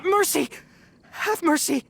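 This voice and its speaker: A woman's voice: extremely high pitch, takes it slowly, creaky, soft background music, heavily acted. A woman voicing a brash but cowardly female bandit in a video game, with a dramatic manner. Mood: panicked begging. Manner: blustering, then pleading.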